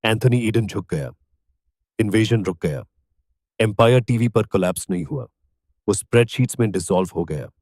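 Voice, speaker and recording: A man with an Indian accent reading scripted documentary narration in measured, emphatic short phrases. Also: on the low side, darkish tone, no echo, studio quality.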